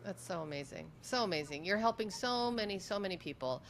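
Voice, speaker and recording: A woman hosts a conversational interview in a mid-range voice. She is effusive, repeating words to stress them. There is a faint low noise behind her. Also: lively intonation, a little fast, vocal fry. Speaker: interview host.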